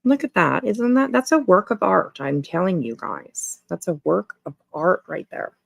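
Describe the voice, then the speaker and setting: A woman's voice: lowish voice, animated, moderate pace, creaky, no room sound. A woman hosting a casual livestream, chatting informally to viewers in a rambling way and repeating her phrases. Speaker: livestream host.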